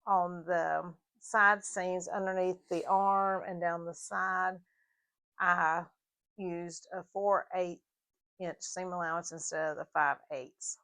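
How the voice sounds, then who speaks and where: A slightly hoarse woman with a Southern US mountain accent, explaining her sewing project in a casual, halting tutorial with fillers. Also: lowish voice, fairly bright tone. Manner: halting, with frequent fillers and restarts. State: a little hoarse. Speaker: sewing vlogger.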